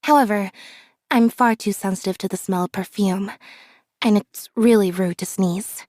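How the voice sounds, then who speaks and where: A woman voicing a reserved, observant young magician's assistant in a video game, speaking in a calm, measured deadpan. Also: mid-range voice, no room sound, studio quality, lightly acted. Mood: calm, detached. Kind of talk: scripted character voice lines.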